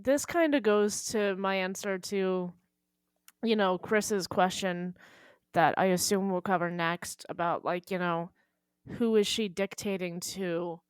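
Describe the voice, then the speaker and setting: A woman's voice: mid-range voice, a little slow, clean tone, dry recording, studio quality. Informal woman podcast panelist, thinking aloud. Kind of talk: casual chat, book discussion.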